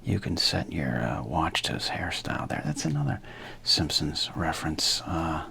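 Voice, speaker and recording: A man's voice: very deep voice, wildly swooping pitch, normal speed, gravelly, sharp tone, slight background noise. A man casually commenting while opening packs of trading cards, with wry jokes and half-whispered asides. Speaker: card collector opening packs.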